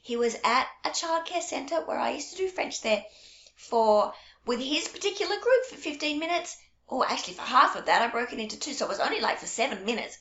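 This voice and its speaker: High-voiced woman in an echoey room. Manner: warm, reassuring, storytelling. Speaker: early childhood French teacher. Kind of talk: casual direct-to-camera talk. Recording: echoey room, dull lo-fi sound.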